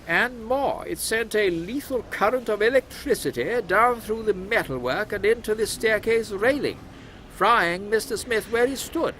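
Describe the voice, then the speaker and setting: A man's voice: high-pitched, animated, measured pace, fairly bright tone, a little hiss or hum, rough recording. A man playing an officious Victorian London policeman in a comic audio drama, matter-of-fact and dryly grim. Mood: deadpan, grim.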